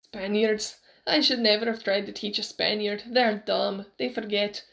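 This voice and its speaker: A woman voicing a gruff male fencing teacher, impatient and scornful, barking at a pupil. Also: mid-range voice, fairly even intonation, a little slow, a bit bright. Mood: exasperated.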